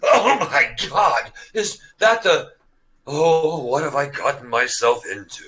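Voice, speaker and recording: A pompous, chivalrous knight with a cowardly streak, acted by a man, in a mock-medieval comedy. His highish, bright voice swoops widely as he declaims in formal flourishes. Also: expressive, slow. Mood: dismayed. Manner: formal, pompous, theatrical delivery. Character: florid, chivalrous young male knight, secretly cowardly. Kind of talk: comic mock-medieval sketch acting.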